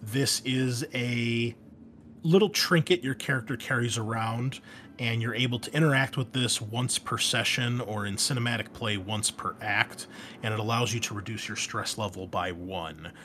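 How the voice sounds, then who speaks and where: A man's voice: mid-range voice, moderate pace, sharp tone, a little hiss or hum. A man hosting a casual, unscripted tabletop game explainer, talking in a conversational way and wandering into asides as he goes. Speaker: host and game master introducing a tabletop game.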